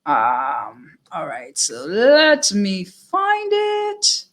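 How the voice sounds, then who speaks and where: A woman hosting a casual live-stream interview, upbeat and affirming with quick asides. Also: mid-range voice, huge pitch swings, a bit bright. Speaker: online talk show host.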